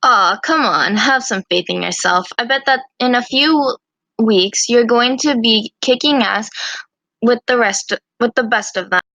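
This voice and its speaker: A woman's voice: a little high, unhurried, sharp tone, dry recording, dull audio. A woman voicing a plucky, mischievous young student huntress with a breezy, slangy, teasing manner in an amateur visual novel. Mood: encouraging.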